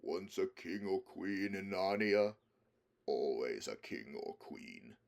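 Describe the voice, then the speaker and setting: Noble storybook lion ruler, acted by a male reader: deep, grave, solemn, commanding. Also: sing-song, slow, vocal fry, full character voice.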